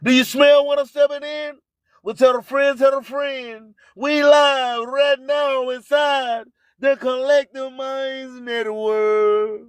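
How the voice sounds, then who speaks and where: A man's voice: extremely high pitch, clear, resonant tone, dry recording. A man hosting an internet radio show, preaching and hyping in repetitive, rhyming catchphrases with a declamatory delivery. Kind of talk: live show hype and preaching monologue.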